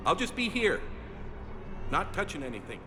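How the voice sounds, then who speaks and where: An actor playing an awkward, eager human fan in a video game cutscene, talking in a nervous, rambling way. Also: male voice, high-pitched, sing-song, moderate pace, over music. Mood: excited.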